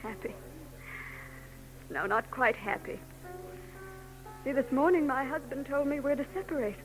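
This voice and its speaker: An actress playing a soft-spoken, wistful young woman in 1940s radio-drama style. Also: sits high, animated, a little slow, music in the background, rough recording. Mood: sad.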